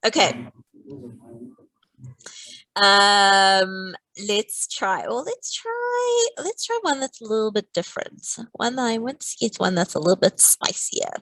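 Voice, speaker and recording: A woman's voice: mid-range voice, huge pitch swings, slow pace, a bit bright, no room sound, rough recording. A woman teaching a live online maths lesson, playful and teasing, walking through each step.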